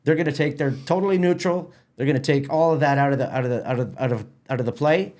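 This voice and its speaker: A man's voice: medium pitch, fast, darkish tone. A middle-aged man with a Canadian accent, giving a casual interview as a theatre company president, often repeating words as he explains.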